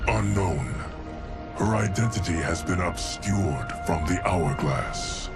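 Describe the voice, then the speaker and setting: A man's voice: low-pitched, lively intonation, slow. A man playing an impassive ancient timekeeper in a video game, formal and detached in his delivery. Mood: detached, certain. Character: impassive, ancient male keeper of time. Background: loud cinematic music.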